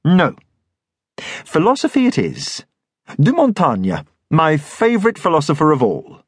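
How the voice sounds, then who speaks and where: A man's voice: a little high, huge pitch swings, breathy edge, no echo, voice pushed up, heavily acted. A man voicing an educated bookseller who speaks politely and carefully with dry wit. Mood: wry polite. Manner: polite, measured, wry.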